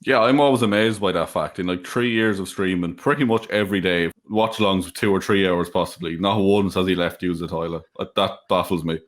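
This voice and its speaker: A man with a very low voice reads out listener questions in a relaxed, casual way. He adds dry, teasing comments between them. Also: fairly quick, few pauses. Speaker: co-host reading questions. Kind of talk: casual chat.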